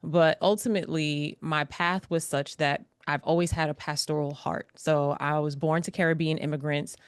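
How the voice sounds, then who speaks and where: A woman from New York City speaks as a guest, a pastor telling her story with lively, preacherly energy. Her voice sits very low, and the recording is dry and close. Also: moderate pace, clean tone, no room sound. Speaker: pastor, author and podcaster. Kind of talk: interview guest.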